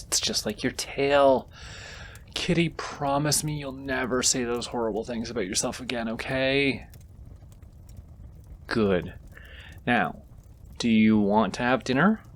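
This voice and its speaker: Man acting as a kind fantasy wizard, mid-range male voice, leisurely delivery, soft and affectionate, shyly hesitant, intimate comfort roleplay. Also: mid-range voice, slight background noise. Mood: soft, awed, then cheerful, grateful. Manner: tender, soft-spoken, hesitant when flustered. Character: gentle, flustered male wizard comforting a companion he secretly adores.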